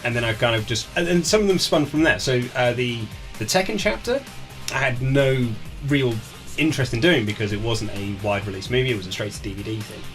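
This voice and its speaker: Male author, interview guest. Very quick, chatty storyteller, casual delivery, light music underneath. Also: mid-range voice, animated, a bit bright. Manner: chatty storyteller who acts out quoted conversations. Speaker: author being interviewed about his book. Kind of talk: casual chat, animated anecdotes.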